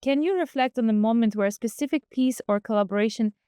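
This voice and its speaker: A woman's voice: on the high side, fast talker, dark tone, clean tone, no echo, clean studio sound. A woman hosting a podcast who reflects earnestly and asks long questions. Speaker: podcast host. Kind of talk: podcast interview.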